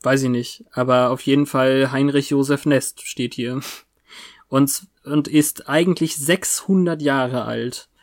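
A podcast co-host chatting casually about a TV series in a halting, spontaneous way. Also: mid-range voice, fairly even intonation.

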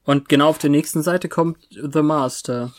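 Podcast co-host, casual TV chat, halting spontaneous delivery, medium pitch. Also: steady tone, slight background noise. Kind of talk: casual chat about a TV series.

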